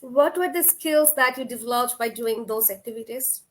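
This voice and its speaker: A woman's voice: fairly high pitch, normal speed, background noise. A woman hosting an online webinar, asking formal, polite interview questions. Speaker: host.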